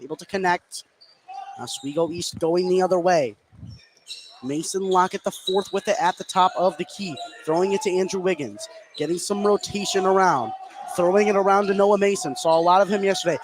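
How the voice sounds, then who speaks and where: High-voiced male basketball play-by-play announcer. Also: brisk. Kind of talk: live basketball play-by-play commentary. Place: indoor basketball gym, courtside. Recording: noisy gym ambience, bouncing basketball. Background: game noise, ball bouncing.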